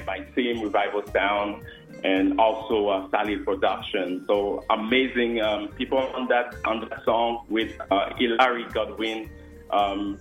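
A man's voice: fairly low pitch, reverberant, dull audio. A man from Haiti, a French and Creole speaker, answering radio interview questions earnestly with many fillers. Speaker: guest musician and worship leader.

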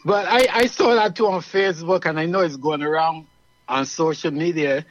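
Barbadian man, guest in a radio chat, earnest and explanatory, slightly high voice, muffled dry recording. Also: normal speed.

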